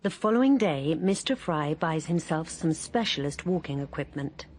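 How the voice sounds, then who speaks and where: Woman narrating a radio play, low-ish voice, calm and measured. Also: slight background noise. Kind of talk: scripted radio drama narration.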